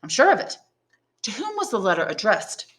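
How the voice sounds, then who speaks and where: A learned old prisoner priest from a classic novel , read aloud by a woman. He speaks deliberately and shrewdly, weighing each point like a patient tutor, with a kindly wisdom beneath the probing. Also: mid-range voice, wildly swooping pitch, a bit bright, voice pushed up. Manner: deliberate, probing questions and measured explanations.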